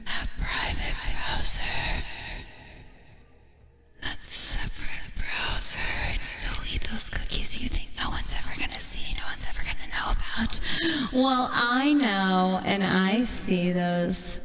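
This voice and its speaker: A woman livestreamer delivering a tongue-in-cheek monologue to her viewers in a teasing, drawn-out, dramatic way, often dropping toward a whisper. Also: medium pitch.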